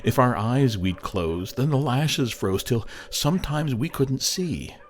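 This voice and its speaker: A man narrating a poem as a dramatic recitation, theatrical and measured, with long pauses. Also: medium pitch, expressive, a little hiss or hum.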